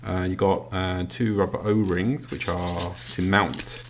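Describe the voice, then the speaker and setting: A British man casually walking through an unboxing, talking as he handles the items with lots of ums and pauses. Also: deep voice, noisy background. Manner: relaxed, filler-heavy, talks while handling items. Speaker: product reviewer.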